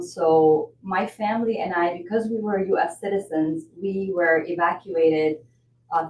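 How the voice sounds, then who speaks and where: A woman from Lebanon, a nonprofit development director, telling her story in a measured way with fillers during a podcast interview. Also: lowish voice, a little mellow. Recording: roomy audio, mic at a distance, faint hiss.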